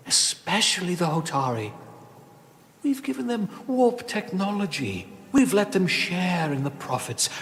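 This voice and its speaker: A man's voice: mid-range voice, a bit bright. A man voicing a haughty, self-righteous alien envoy in a video game, making a formal, emphatic and accusing speech. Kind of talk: dramatic video game acting. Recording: noisy game audio, long hall reverb.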